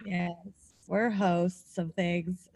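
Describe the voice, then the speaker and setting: A woman co-hosting a podcast, chatting casually in animated, slangy banter. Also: on the low side, extremely slow. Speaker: podcast co-host. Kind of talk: casual chat.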